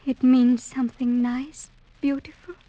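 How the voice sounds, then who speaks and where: An actress playing an innocent, devoted young village maiden, gentle and wondering, in 1940s radio drama style. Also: female voice, sits high, level tone, unhurried, no echo, poor audio. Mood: shy, tender.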